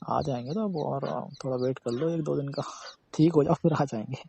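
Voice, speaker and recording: A man with a husky, gravelly voice chatting casually and intimately on a phone call, coaxing and reassuring. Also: medium pitch, normal speed, dark tone, a little hiss or hum. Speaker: romantic partner on a phone call.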